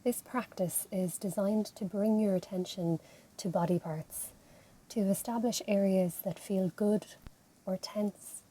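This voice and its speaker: A woman with an Irish accent leading a guided meditation as an instructor, speaking in a gentle, soothing, unhurried manner. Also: medium pitch, slightly rough, poor audio. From Ireland. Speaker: physiotherapist and yoga instructor leading relaxation.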